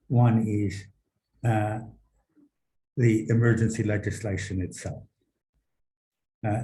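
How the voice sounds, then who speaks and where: A man with a low, mellow voice speaks formally as a panelist on policy. He is deliberate and erudite, often halting before he finishes a phrase. The sound is roomy and echoey. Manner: measured, deliberate, halting with long pauses. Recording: roomy echo with some background noise.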